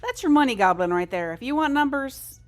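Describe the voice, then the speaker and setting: A woman's voice: sits high, expressive, a little fast. A woman live streamer chatting casually over a game, in a rambling, informal and self-deprecating way. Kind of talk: casual gaming stream chat.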